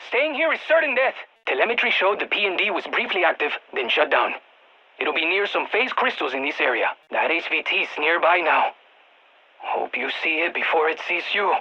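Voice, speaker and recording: A man's voice: a little high, sing-song, brisk, pushed and bright, husky, muffled recording, exaggerated voice. A man playing an eager, geeky field scientist in a video game, giving precise technical briefings to soldiers over radio comms. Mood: brisk, informative. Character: excitable nerdy male field scientist guiding soldiers by radio. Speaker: mission-support scientist character in a shooter game.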